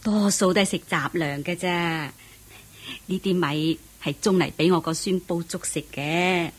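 A slow, weary old grandmother. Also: on the low side, slightly rough. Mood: weary, sorrowful. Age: older. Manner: humble, plaintive, tired. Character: poor, weary old peasant grandmother, widowed. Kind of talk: radio drama acting.

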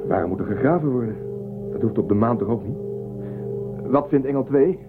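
A man playing a measured, authoritative spaceship commander in a science fiction radio drama. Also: medium pitch, lively intonation, close to an everyday voice. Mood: calm, questioning. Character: male spaceship commander, calm authority, questioning. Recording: lo-fi phone-like band, music behind.

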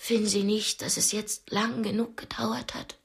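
An actress playing a frightened but defiant young captive woman in a radio drama, breathy and pointed in her speech. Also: medium pitch, level tone, normal speed, lightly acted. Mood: quiet, probing. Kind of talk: German radio drama dialogue.

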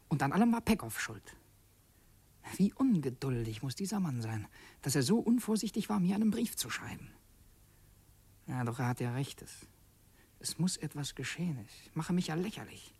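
An actor playing a secretive, brooding would-be assassin in a radio drama, intense and introspective, as if confessing to himself. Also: male voice, mid-range voice, very animated, darkish tone, husky, dry recording. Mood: agitated, calculating. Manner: intense, introspective, halting self-questioning. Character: brooding young male conspirator and would-be assassin, torn and secretive.

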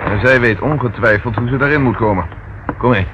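An actor playing a brisk, commanding and suspicious police inspector in a radio play, giving clipped orders and probing questions. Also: male voice, mid-range voice, highs cut off, lightly acted. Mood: stern, probing. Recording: noisy old radio drama recording.

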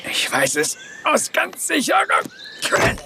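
Old exiled German emperor, pompous, excitable, embittered, very animated pitch, radio drama. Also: male voice, a little slow, vocal fry, background noise, full character voice. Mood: secretive. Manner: theatrical, pompous, swinging between whisper and outburst.

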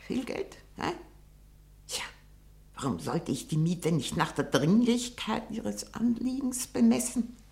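An older actress in a radio play playing a very old, shrewd, greedy and haughty spinster aunt with a cracked voice. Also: female voice, medium pitch, big pitch swings, creaky, a little mellow, full character voice. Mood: greedy, imperious. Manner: slow, halting, haughty and blunt. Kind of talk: 1960 German radio play acting.

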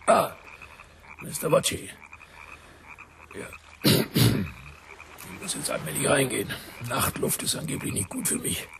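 An actor playing a gruff, chatty old British major who talks in clipped bursts, with a cough, in a radio drama. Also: male voice, medium pitch, huge pitch swings, takes it slowly, pauses often, heavy background noise, exaggerated voice. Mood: affable, winding down. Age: older. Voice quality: frequent coughing, damaged breath. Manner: clipped telegraphic sentences, military bluffness.

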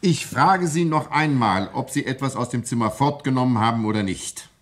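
An actor playing a stern, insistent coroner who questions a witness formally and deliberately in a vintage German radio crime drama. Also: male voice, medium pitch, natural voice.

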